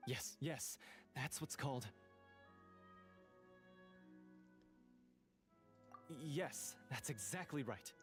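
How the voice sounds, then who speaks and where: A man's voice: mid-range voice, unhurried, lightly acted. An actor playing an earnest, anxious male guide in an anime game, speaking solemnly. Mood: eager. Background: music.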